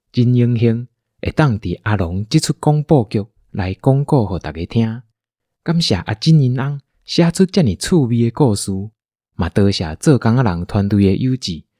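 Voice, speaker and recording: Male storyteller, Taiwanese accent, mellow mid-range voice, dry sound, playful dramatics. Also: mid-range voice, breathy edge, no room sound. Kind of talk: casual speech of thanks.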